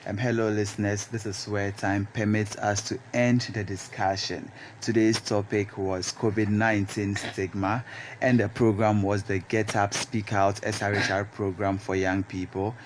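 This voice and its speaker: A man's voice: lowish voice, little pitch movement, normal speed, slight background noise, close to an everyday voice. A young man with a Ghanaian accent hosting a panel discussion in a radio studio. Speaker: radio program host.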